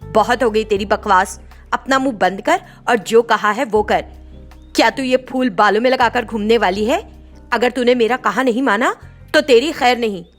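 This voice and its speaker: A woman's voice: on the high side, moderate pace, faint music behind, close to an everyday voice. A woman voicing a cruel, greedy stepmother in a story, harsh and threatening in manner. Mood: angry scolding.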